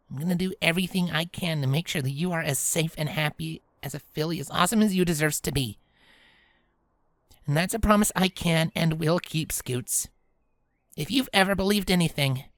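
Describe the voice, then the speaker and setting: A woman voicing a tomboyish, protective grown pegasus pony, speaking in a calm, low, reassuring way in an acted audio drama. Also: no echo, studio quality. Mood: earnest, tender.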